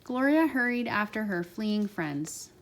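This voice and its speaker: A woman's voice: medium pitch, lively intonation, measured pace, vocal fry. A woman narrating a children's storybook aloud as a parent, expressively stressing key words.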